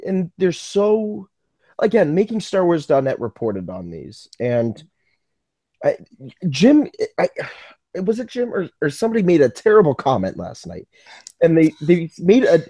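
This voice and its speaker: An American man co-hosting a casual live fan podcast, talking in an excitable, joking way. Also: a little high, no room sound. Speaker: podcast co-host.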